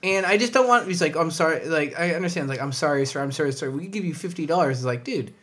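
A man with a high-pitched voice ranting about a customer service mix-up, swearing often in casual chat. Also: animated, fast, fairly bright tone. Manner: ranting, swears a lot, frustrated storytelling. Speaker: podcast co-host.

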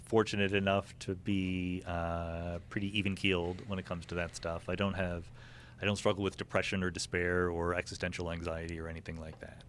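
A man calmly answering in a podcast interview, even-keeled, with many hesitant fillers. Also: low voice, a little fast, a little hiss or hum. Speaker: podcast guest.

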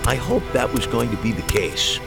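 A man's voice: mid-range voice, big pitch swings, moderate pace, vocal fry, loud music behind the voice. A man reading scripted first-person mystery narration with wry, dry humor.

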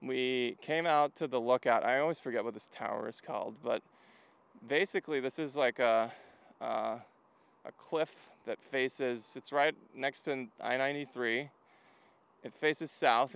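A man's voice: medium pitch, measured pace, a bit bright, clear, resonant tone. A man from Hungary chatting casually as a podcast guest outdoors, telling things in a rambling way peppered with fillers. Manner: rambling, filler-heavy storytelling with frequent "like". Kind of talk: casual chat while walking. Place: outdoors, walking to a lookout.

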